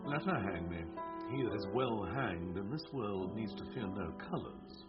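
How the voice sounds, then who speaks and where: A man plays a flippant fool with a fairly low voice and dry wit. It is carefree Shakespearean radio acting. Loud plucked guitar runs behind the muffled recording. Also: expressive, normal speed, lightly acted. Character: dry-witted, carefree court jester. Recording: dull recording under loud guitar music.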